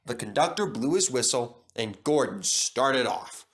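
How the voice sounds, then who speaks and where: A man narrating a children's story from a script in an expressive, dramatic storytelling manner. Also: mid-range voice, unhurried, breathy edge. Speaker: storybook reader.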